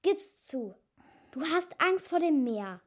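A woman's voice: sits high, big pitch swings, slow, full character voice. A woman playing a plucky, stubborn young girl in a children's radio play, eager and insistent in manner. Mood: pleading, insistent.

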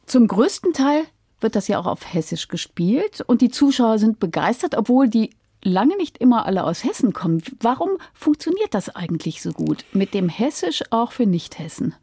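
A woman's voice: fairly high pitch, sing-song, normal speed, dark tone, breathy edge, no echo, studio quality. A woman interviewing a guest in a conversational style with prepared questions. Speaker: radio interviewer.

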